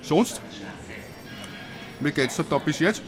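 A man's voice: a little high, lively intonation, slow pace, over music. An actor playing a jovial, gossipy male nurse in a radio play, with an Austrian accent and a teasing, colloquial manner. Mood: gossipy, cheeky. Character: jovial, cheeky, gossipy care-home nurse. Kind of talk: German radio play, casual dialogue.

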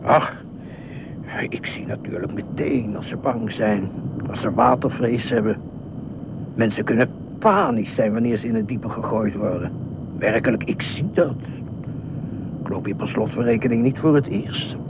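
Through a thin, phone-like recording with clear noise comes a man acting an eccentric middle-aged beach watchman in a radio play. He is pompous and officious, rambling on with self-importance and excitable indignation. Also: mid-range voice, normal speed, lightly acted. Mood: confiding, self-important. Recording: noisy, tinny phone-like radio drama recording.

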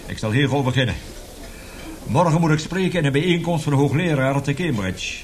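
An actor playing an embittered, agitated professor in a Dutch radio play, speaking in an emphatic, indignant, oratorical way. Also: male voice, fairly high pitch, expressive, brisk, close to an everyday voice. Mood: determined. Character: agitated, embittered male professor warning of catastrophe. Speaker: scientist, professor.

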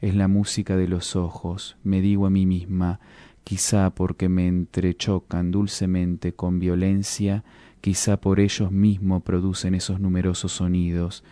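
A man giving a solemn, deliberate dramatic reading of poetic prose, as a guest reader. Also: low voice, monotone, dark tone, clean tone, no room sound. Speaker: guest reader of literary texts. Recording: music under the voice. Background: music.

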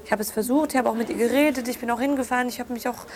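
An actress playing a hurt, candid thirty-year-old daughter in natural, improvised-sounding radio drama dialogue. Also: female voice, a little high, steady tone, racing, close to an everyday voice. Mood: hurt, frustrated. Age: 30s.

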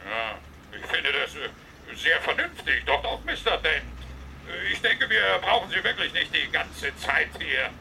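A man's voice: fairly high pitch, big pitch swings, measured pace, creaky, bright, forceful tone, slight background noise, heavily acted, poor audio. A man playing a pedantic, exasperated council foreman with a bureaucratic manner in a German radio comedy. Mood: befuddled, yielding. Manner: bureaucratic, repetitive, hesitant.

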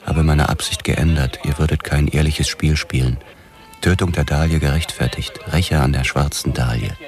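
An actor playing a brooding, obsessed police detective, narrating his own noir story in a measured, sombre manner. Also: very deep voice, darkish tone. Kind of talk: scripted noir first-person narration.

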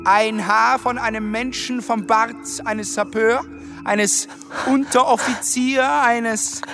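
A man playing a pompous, jittery army captain in a radio play, mocking in a drawn-out declamation. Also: very high-pitched, fairly even intonation, vocal fry, over music, exaggerated voice. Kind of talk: theatrical German radio drama acting.

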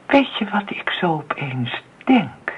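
Nosy neighbour woman, drawn out gossip, husky, phone-like old radio play audio. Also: sits low, sing-song, takes it slowly, in a whisper, heavily acted. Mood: insinuating. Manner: gossipy, insinuating, emphatic. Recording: muffled narrowband old radio play recording.

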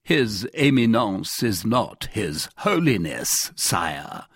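A man voicing a calm, courteous, shrewd musketeer captain in an audiobook, measured and quietly firm. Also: on the low side, husky, no echo, studio quality, heavily acted. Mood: measured insistence. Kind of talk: dramatised audiobook character voice.

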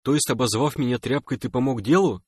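A man's voice: mid-range voice, quick pace, husky, no room sound, studio quality, pitched above the performer's own voice, natural voice. A man voicing a proud, sensible winged alien male who is stern and easily offended, in an audiobook story. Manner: stern, reproachful.

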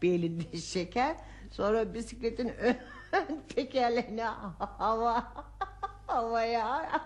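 A woman's voice: a little high, expressive, slow pace. An actress playing an elderly housewife and grandmother in a radio play, scolding and teasing with frequent exclamations. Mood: mocking amusement. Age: older. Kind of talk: radio theatre acting.

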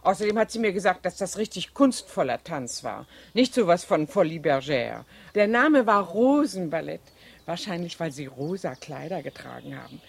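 A woman's voice: fairly low pitch, sing-song, moderate pace, gravelly, slightly rough, heavily acted. An actress in a 1970s German radio play playing a chatty, falsely naive cleaning woman who feigns discretion. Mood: innocent gossip. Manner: chatty, mock-innocent.

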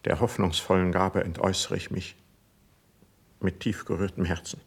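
Proud wounded young nobleman, low husky voice, solemn declamation, classical radio drama. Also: flat delivery, full character voice. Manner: solemn, declamatory, drawn-out emphatic phrasing. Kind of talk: classical verse stage acting for radio.

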